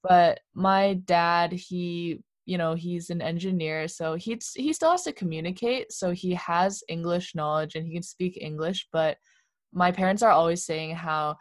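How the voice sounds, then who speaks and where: A young woman talking casually with friends, her voice fairly low and calm. She is relaxed and thoughtful, pausing on small fillers now and then. The sound is dry and close. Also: dry recording. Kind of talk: casual chat.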